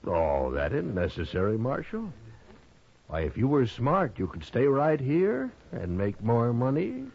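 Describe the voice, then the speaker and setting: An American actor playing a sly, smug Old West gambler in a 1950s radio western, drawling and insinuating with false friendliness. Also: male voice, medium pitch, wildly swooping pitch, unhurried, creaky, breathy edge, full character voice. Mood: oily, taunting. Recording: dull vintage radio recording.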